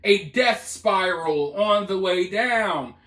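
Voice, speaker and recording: A high-pitched male commentator talks through the news in a roomy, echoing space. He is casual and opinionated, leaning on colloquial phrasing and repetition to drive points home. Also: lively intonation, slow pace, sharp tone. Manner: emphatic, colloquial, repeats phrases for effect. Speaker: commentator hosting a news video.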